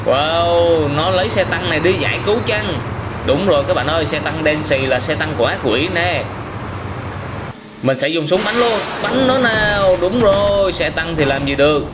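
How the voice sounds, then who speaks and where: High-voiced man commentating over noisy game footage. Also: lively intonation, normal speed. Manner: exclamatory, simple child-directed storytelling. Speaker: host of gaming reaction videos for children. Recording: noisy, car engine sounds behind.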